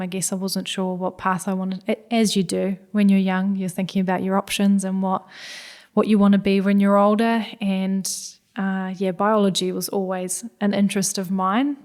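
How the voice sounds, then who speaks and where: Kiwi-accented woman with a flat, even voice. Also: mid-range voice. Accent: New Zealand. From Hungary. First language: Hungarian. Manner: reflective, many "yeah" and "I guess" fillers. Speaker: guest scientist. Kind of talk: podcast interview.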